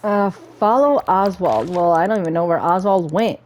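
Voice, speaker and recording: A woman's voice: fairly low pitch, normal speed, a little mellow, a little hiss or hum. A woman hosting a gaming playthrough, commenting casually and reading game text aloud. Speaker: gaming video host.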